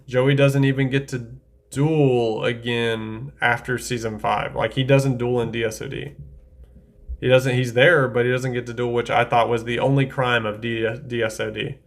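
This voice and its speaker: A man of middling pitch chats loosely as he ranks anime card duels. He sounds enthusiastic and thinks out loud, often stopping short to correct himself. The talk is relaxed and unscripted. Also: normal speed, vocal fry. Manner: chatty, enthusiastic, thinks aloud with self-corrections. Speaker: anime tier-list creator. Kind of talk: casual tier-list commentary.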